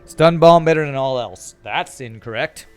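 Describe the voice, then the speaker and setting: A man's voice: medium pitch, moderate pace, clean tone. Chatty American man streaming a game. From California, USA. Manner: relaxed, chatty, reads chat aloud and answers. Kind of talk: casual gameplay commentary. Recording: faint music behind.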